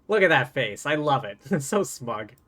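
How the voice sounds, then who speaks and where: A man's voice: mid-range voice, very animated, a little slow, a bit bright. A man livestreaming a game, reading dialogue aloud and adding playful, wry, casual asides. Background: game music.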